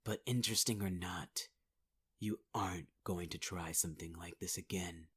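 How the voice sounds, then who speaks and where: A man's voice: sits low, steady tone, moderate pace, a bit bright. A man performing a menacing, taunting villain in an ASMR audio roleplay, often half-whispering. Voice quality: husky, half-whispered delivery.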